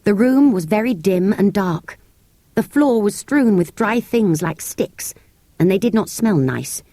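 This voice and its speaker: A British woman narrates a children's storybook from a script. Her delivery is measured and expressive, with very animated pitch, recorded close and dry. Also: no echo. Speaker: audiobook narrator.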